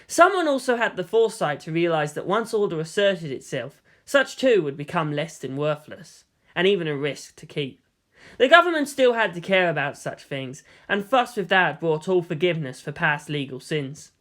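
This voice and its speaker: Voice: medium pitch, sing-song, normal speed, fairly bright tone. A narrator reading a scripted fan fiction story in audiobook style.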